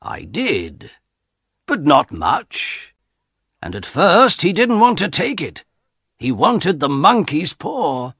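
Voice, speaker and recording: A careful, uneasy old father, as a male storyteller takes him on, speaking slowly and hesitantly a little above the reader's own pitch. Every word is drawn out, recorded close and dry. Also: wildly swooping pitch, slightly rough, dry recording, full character voice. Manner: slow, hesitant and quiet.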